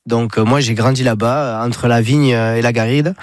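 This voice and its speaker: Guy in his 30s, southern french accent, halting chatty answers, dry close sound. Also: medium pitch, fairly even intonation, no room sound. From Pyrénées-Orientales, France. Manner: hesitant, many fillers and restarts. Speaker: guest, espadrille brand founder. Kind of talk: casual interview.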